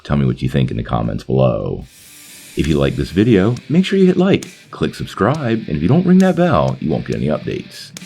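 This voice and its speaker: A man's voice: rumbling low, wildly swooping pitch, fairly quick, vocal fry, dark tone, soft background music. A man hosting a video commentary, talking directly to viewers as a channel host. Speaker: host of a comic book movie channel.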